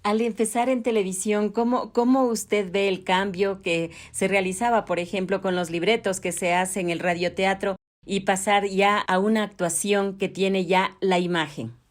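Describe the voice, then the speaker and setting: A woman's voice: mid-range voice, steady tone, moderate pace, few pauses. A woman asks questions as an interviewer, conversational yet politely formal.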